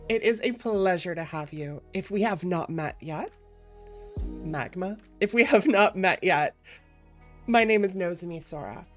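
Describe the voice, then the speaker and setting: A woman hosting a livestream, chatting casually and playfully with viewers in an expressive way over background music. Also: fairly low pitch, a little slow. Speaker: streamer, variety VTuber and host.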